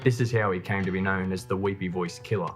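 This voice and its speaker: A man's voice: low voice, fairly even intonation, quick pace. A man reading scripted true crime documentary narration. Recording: music bed under the voice. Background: background music.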